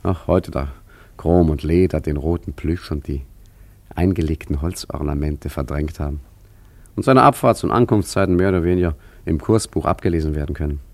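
An actor playing a wry, roguish art forger and painter in a German radio play, with a leisurely, ironic, musing way of talking. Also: male voice, rumbling low, brisk, a little mellow, no room sound. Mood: wry storytelling.

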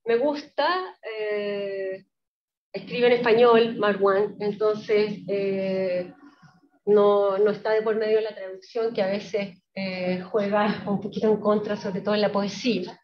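A woman giving a public talk on poetry with readings, explaining in a halting way and often checking with short tag questions. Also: mid-range voice, fairly even intonation. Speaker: lecturer presenting Palestinian poetry. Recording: roomy, distant, dull lo-fi pickup.

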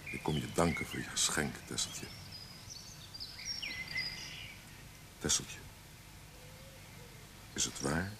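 An actor playing a roguish, self-doubting poet in a radio play, playful and smitten, with a hesitant way of speaking. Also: male voice, a bass voice, a little slow, creaky, full character voice. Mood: moved, wondering. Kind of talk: Dutch radio play acting. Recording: noisy old radio play recording.

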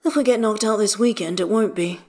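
A woman's voice: medium pitch, brisk, a little mellow, pitched above the performer's own voice, lightly acted. A woman voicing a flamboyant, teasing man with an arch, theatrical manner in an audio story. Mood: confident.

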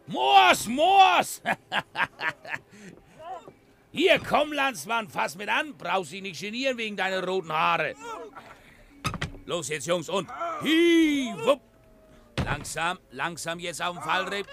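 An actor playing a gruff, bossy dockworker who barks rough, impatient orders at his crew in a radio play. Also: high-pitched, very animated, takes it slowly, long pauses, a bit bright, heavily acted. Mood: hearty, commanding.

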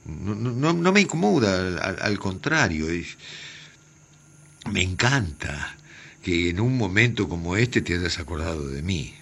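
Shy, tender Argentine theatre man: gravelly, halting, very animated radio-play delivery. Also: low voice, exaggerated voice. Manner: stammering, halting, repeating words. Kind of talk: radio drama acting.

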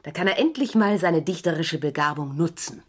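A woman's voice: low voice, expressive, a little fast. An actress playing a cool, scheming young woman, a commissioner's daughter, in a vintage German radio crime drama. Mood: sly, conspiratorial.

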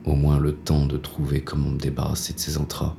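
An actor playing a bitter, world-weary police inspector in a noir audio drama, gruff and slangy as he narrates his own story. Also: monotone, a little fast, warm tone, a little hiss or hum, exaggerated voice. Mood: grim, sardonic. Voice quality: very deep, gravelly. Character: jaded, hard-boiled male police inspector, bitter and shaken.

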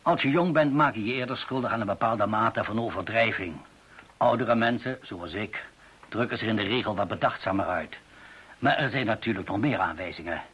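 An actor in a radio play playing an older professor, deliberate, scholarly and formal in his analysis. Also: fairly low pitch, a bit bright, husky. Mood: analytical, measured. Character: older male language professor, measured, analytical, precise. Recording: dull, muffled radio play recording.

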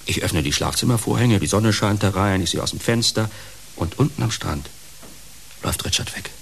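An actor playing a troubled, volatile fallen television celebrity in a radio drama, brooding, halting and theatrical. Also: male voice, fairly low pitch, vocal fry. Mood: uneasy, haunted. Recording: hissy radio drama recording.

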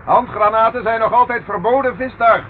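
An actor playing a brisk, wry, commanding police inspector in an old radio drama. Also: male voice, very high-pitched. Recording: dull, noisy old radio recording.

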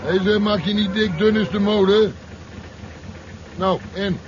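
An actor playing a cheeky, rebellious truck driver in a radio drama, with a coarse, slangy, mocking way of talking. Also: male voice, steady tone, slow pace. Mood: insolent, mocking. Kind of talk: 1970s radio drama acting. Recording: dull, noisy 1970s radio recording, engine rumble. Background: vehicle engine noise.

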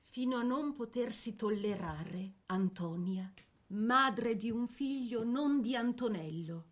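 A woman narrating a scripted radio drama in a measured, expressive storytelling manner. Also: fairly high pitch.

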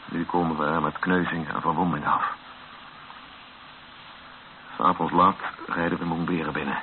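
An actor in a radio play as a captive man sombrely narrating his ordeal. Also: low-pitched. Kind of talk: dramatised first-person radio narration. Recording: tinny old radio recording, faint noise.

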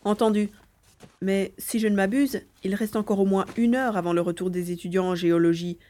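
A woman's voice: mid-range voice, steady tone, a little fast, warm tone, reverberant, slight background noise, natural voice. A woman playing a determined young boy hero in an audio drama, thinking aloud as he acts. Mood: resolute. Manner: thinks aloud, narrating own actions.

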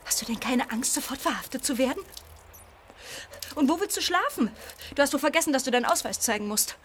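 A brash, excitable accomplice in a radio play. Her voice is high, her manner blunt and taunting. There is noise and ambience behind her. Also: a little fast. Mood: probing, practical. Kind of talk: German radio play acting.

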